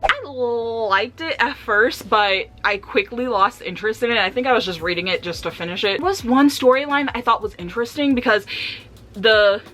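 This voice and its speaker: A woman chatting casually and candidly about books for a vlog, with an animated, slangy, giggly way of talking. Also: on the high side, moderate pace. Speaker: book reviewer vlogger.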